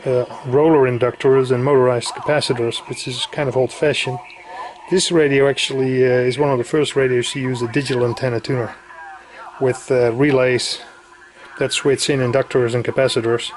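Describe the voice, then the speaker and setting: Guy casually showing off some gear, medium pitch, kinda halting, bit of noise behind him. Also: low-quality recording. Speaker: radio enthusiast presenting equipment. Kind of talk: casual hands-on demonstration narration. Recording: clear background noise.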